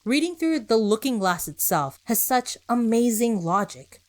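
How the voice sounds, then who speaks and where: A woman's voice: a little high, very animated, a little slow. Woman hosting a podcast, posing rhetorical questions. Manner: rhetorical questions and short emphatic phrases. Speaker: podcast host. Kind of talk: scripted podcast narration with conversational asides.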